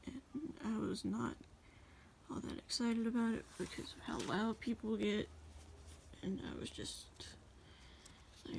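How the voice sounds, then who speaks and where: A woman casually reading her journal aloud as a voice-over, in a rambling, halting way. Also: on the high side, gravelly, darkish tone, a little hiss or hum, low-quality recording. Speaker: journal flip-through video creator.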